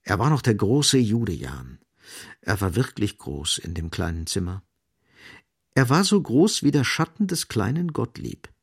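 Male narrator, lowish gravelly voice, measured, grave, literary radio drama storytelling. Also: big pitch swings.

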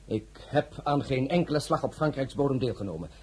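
An actor as a troubled, proud prince, swinging in pitch. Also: male voice, lively intonation, fairly quick, a little mellow, a little hiss or hum, lightly acted. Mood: grave, defensive. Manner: formal, emotional, brooding. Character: proud, troubled crown prince and army commander, husband. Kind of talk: radio drama acting.

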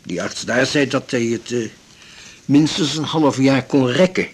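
Elderly retired sailor, husky voice, weary, blunt, measured formal phrasing, radio play. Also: male voice, medium pitch. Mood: resolute, grave. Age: 70s or older. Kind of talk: 1980s Dutch radio drama acting.

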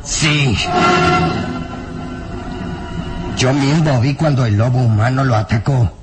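An actor playing a burly, frightened and bitter peasant villager in a melodramatic radio drama, anguished, emphatic and halting. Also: male voice, medium pitch, over music, lightly acted. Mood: horrified, agitated.

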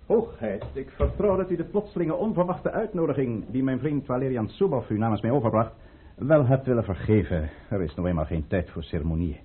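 An actor playing a scheming count in a radio drama, formal, courtly and outwardly deferential. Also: male voice, mid-range voice, animated, fairly quick, slightly rough. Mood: courtly, calculating. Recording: muffled, phone-like old radio play recording.

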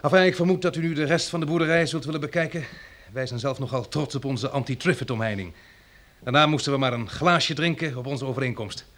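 An actor playing a shrewd farmer and husband in a Dutch radio drama, speaking in a measured, persuasive, slightly husky voice. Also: male voice, mid-range voice, fairly bright tone, natural voice. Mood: affable.

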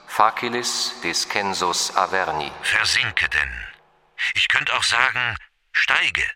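A man's voice: lowish voice, expressive, pushed and bright, heavily acted. A man playing a solemn reciter who declaims short literary quotations. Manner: declamatory, slow, clipped phrases. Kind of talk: theatrical recited quotations with source named. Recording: long hall reverb.

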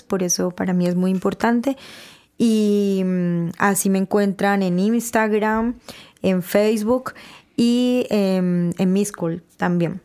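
A woman from Colombia talking as a guest on a radio show about salsa, relaxed and hesitant with many fillers. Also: mid-range voice, clean tone. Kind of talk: casual radio interview. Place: radio studio.

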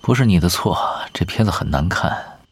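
An actor playing a laid-back, gently teasing young male gamer in an audio drama. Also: low voice, lively intonation, normal speed, husky, full character voice. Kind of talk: Chinese audio drama acting.

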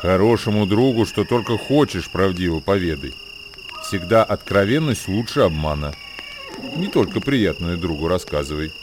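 A man performing a solemn, measured dramatic recitation of old proverbs as a radio actor. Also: low voice, lively intonation, background noise. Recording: music behind the voice. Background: music.

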